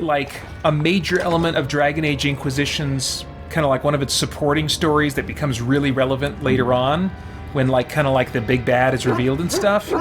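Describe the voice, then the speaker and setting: A man livestreaming a video game, chatting casually and thinking aloud in a rambling way. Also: fairly high pitch. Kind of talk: casual chat while playing a video game.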